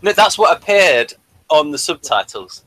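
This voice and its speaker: A man with a bright, forward voice hosts a casual review discussion. He talks in an explanatory way, setting out points conversationally. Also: fairly high pitch, sing-song, moderate pace, no room sound, low-quality recording. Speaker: podcast host.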